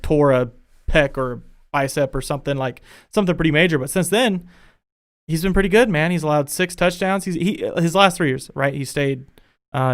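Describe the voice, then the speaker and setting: A young man hosting a casual sports podcast from his home studio, chatty and energetic, his voice a bit worn. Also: a little high, clean tone. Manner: energetic, chatty, thinking aloud. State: losing his voice. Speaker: podcast host.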